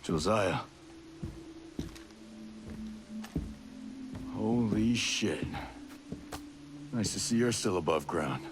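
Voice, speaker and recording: Low-voiced, terse male soldier, music behind. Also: animated, takes it slowly. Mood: guarded relief. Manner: terse, understated. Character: stoic male special forces soldier, gruff and determined. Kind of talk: video game cutscene acting.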